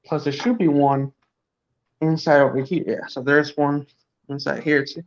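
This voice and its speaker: A man's voice: fairly high pitch, little pitch movement, moderate pace. A man from Cuba hosting a casual livestream, rambling and joking, chuckling as he talks. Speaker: livestream host. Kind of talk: casual chat. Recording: dull lo-fi stream audio.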